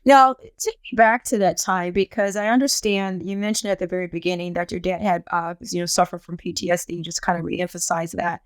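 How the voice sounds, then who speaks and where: A woman's voice: lowish voice, fairly even intonation, a little fast, hardly any pauses, clean tone, dry recording. A middle-aged woman hosting a podcast, asking questions and encouraging her guest with affirming murmurs. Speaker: podcast host. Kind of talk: interview host.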